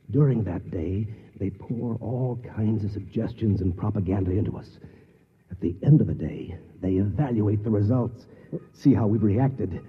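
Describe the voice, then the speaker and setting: An actor playing a determined, alarmed ordinary man in mid-century American radio drama, with a husky, urgent, earnest delivery. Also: fairly low pitch. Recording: muffled, reverberant old radio recording.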